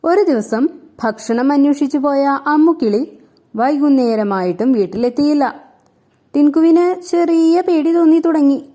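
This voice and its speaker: A woman's voice: high-pitched, unhurried, dark tone. A woman narrating a scripted children's story as a storyteller.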